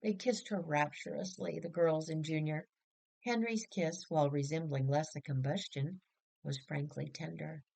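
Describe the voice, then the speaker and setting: A woman narrates a written story in a low-set voice. Her reading is measured and expressive, with clearly phrased sentences in an audiobook style. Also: poor audio. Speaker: audiobook reader.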